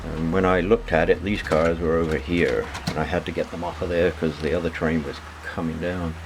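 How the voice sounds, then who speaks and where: A man giving a casual, unscripted hobby vlog narration in a halting way, often repeating words. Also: deep voice, fairly even intonation, noisy background.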